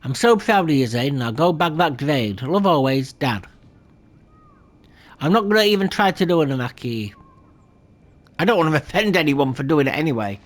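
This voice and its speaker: This is a gameplay commentator with a lively, swinging voice who reads the letters on screen out loud. The reading is plain and unpolished, with small stumbles that get corrected. Now and then the speaker breaks off to talk casually to the viewer. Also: medium pitch, normal speed, long pauses. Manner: chatty asides to the viewer between readings.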